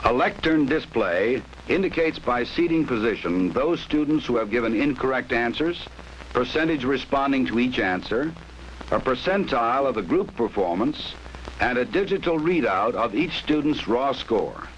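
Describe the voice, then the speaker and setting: An American man reading a formal, measured documentary narration for an instructional film. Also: medium pitch, lively intonation. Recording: noisy 1960s training film soundtrack.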